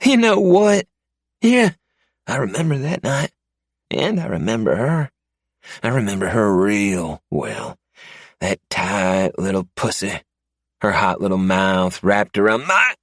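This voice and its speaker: A man voicing a panicked, sleazy lowlife man who frantically begs for his life in a strained, pitched-up voice. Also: sing-song, takes it slowly, pauses often, no room sound, clean studio sound, heavily acted. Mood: sneering. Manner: frantic, pleading, later sneering. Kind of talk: audiobook character voice.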